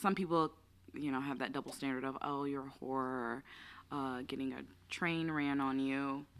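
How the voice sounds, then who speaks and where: A woman's voice: rumbling low, steady tone, clean tone. A young woman co-hosting a podcast, chatting candidly and giggling often. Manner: candid, giggly, drawn-out phrasing. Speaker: podcast co-host. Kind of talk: casual chat.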